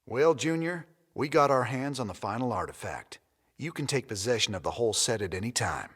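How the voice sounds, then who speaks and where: Husky, drawling rebel commander. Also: male voice, medium pitch, animated, brisk, a bit bright. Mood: wry, resolute. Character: rugged rebel commander, wry, determined space cowboy. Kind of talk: video game cutscene acting. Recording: reverberant room with music underneath.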